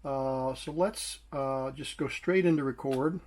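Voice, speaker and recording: A man casually demonstrating and explaining a vintage device, unhurried and pausing to search for his words. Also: medium pitch, darkish tone. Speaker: vintage tech collector presenting a device.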